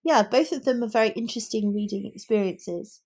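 A woman's voice: medium pitch, fairly quick, a little mellow, breathy edge. Reflective woman co-hosting a book podcast. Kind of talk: casual book discussion.

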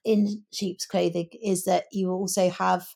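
A woman's voice: on the low side, flat delivery, unhurried, gravelly, dark tone. A woman co-hosting a conversational book discussion, thinking aloud in long, emphatic explanations. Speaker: podcast co-host.

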